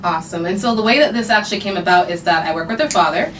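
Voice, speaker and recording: A woman's voice: lowish voice, lively intonation, racing. Female host, casual and chatty, distant roomy sound, faint background hum. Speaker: podcast host. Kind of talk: casual interview chat. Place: recording studio.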